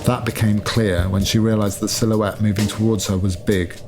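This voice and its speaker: A man reading literary fiction as scripted narration, with measured, deliberate phrasing. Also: fairly low pitch, flat delivery. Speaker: author reading own novel excerpt. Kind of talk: scripted literary narration over sound design. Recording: music behind the voice. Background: atmospheric music.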